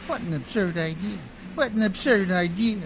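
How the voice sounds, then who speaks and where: A man playing a rough, exasperated, hard-drinking riverboat skipper in an old-time radio drama, with folksy uneducated speech. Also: high voice, normal speed, a bit bright, a little hiss or hum, rough recording. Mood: angry, stubborn. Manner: uneducated folksy speech, full of ain't and miss.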